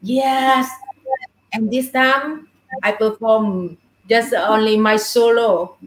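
Middle-aged woman, Vietnamese accent, slow pace, simple broken English, explaining a craft, echoey room. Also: on the high side, fairly even intonation. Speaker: puppeteer, guest. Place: small indoor puppet room. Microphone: webcam at a little distance. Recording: echoey room over video call.

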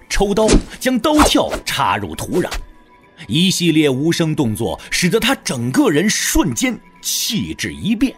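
A man's voice: fairly high pitch, breathy edge. A man narrating a wuxia audio drama as a scripted, dramatic storyteller. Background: faint music.

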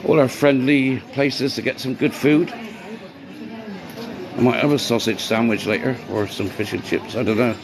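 A man chatting casually as he vlogs his day as a bar worker, rambling and greeting people as he goes, his voice going hoarse. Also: mid-range voice, fairly even intonation, moderate pace, crowd noise, rough recording. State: voice getting hoarse. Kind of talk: casual walk-and-talk vlog. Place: outdoors on streets by a seafront. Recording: street noise behind the voice.

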